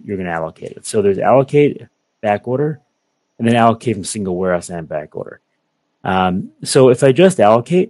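A young man giving a methodical, step-by-step software walkthrough over a video call. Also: on the low side, no echo. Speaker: software product presenter. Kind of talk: screen-share software walkthrough.

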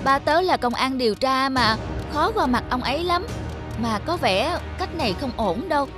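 High-voiced anxious schoolboy. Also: female voice, animated, normal speed, music in the background. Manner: worried, hesitant. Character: anxious, bookish schoolboy fearing his strict father. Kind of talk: cartoon dialogue.

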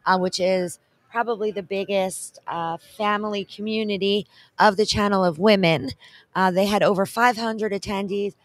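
A middle-aged woman co-hosting an event wrap-up, upbeat and promotional, giving shout-outs, talking into a handheld mic at an expo booth set. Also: mid-range voice, fairly even intonation, moderate pace, dry recording. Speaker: co-host.

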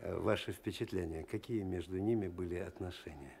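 A low, gravelly, commanding police detective. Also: male voice, a little fast, a little mellow, heavily acted. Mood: businesslike questioning. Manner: terse, commanding, questioning. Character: brisk, authoritative male police detective, businesslike and sceptical. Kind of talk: Soviet-style radio drama acting. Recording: noisy old radio drama recording.

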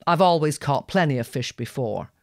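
A woman's voice: sits low, expressive, moderate pace, no echo, clean studio sound. A woman voicing a cocky, stubborn young gargoyle boy with a brash, carefree manner in an audio story. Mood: accusing.

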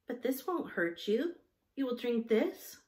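A woman voicing a sweet, gentle, solicitous young daughter in a storybook reading. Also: a little high, big pitch swings, a little slow, pitched above the performer's own voice. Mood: gentle, coaxing.

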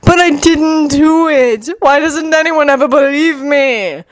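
A woman voicing an indignant male ninja villain who protests in a whiny, drawn-out way. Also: high voice, dry recording, voice pushed up.

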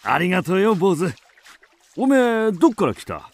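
An actor playing a hearty farmer in a radio drama, speaking in rough country dialect. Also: male voice, sits high, very animated, moderate pace, dry recording.

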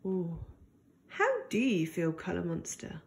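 A woman reading a picture book aloud to young children in a gentle, deliberate and expressive way. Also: fairly low pitch, gravelly.

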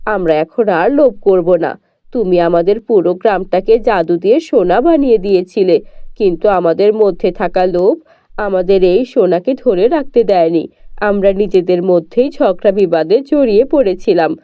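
A woman's voice: mid-range voice, normal speed, dry recording, highs cut off, natural voice. A woman voicing simple villagers in a children's cartoon fairy tale. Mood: humbled. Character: simple village folk speaking to young heroine.

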